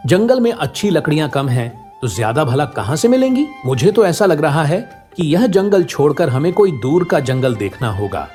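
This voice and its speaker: A man's voice: on the high side, big pitch swings, normal speed, darkish tone, faint music behind. A man voicing a discouraged, plain-spoken village woodcutter in a cartoon moral story. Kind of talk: animated moral story dialogue.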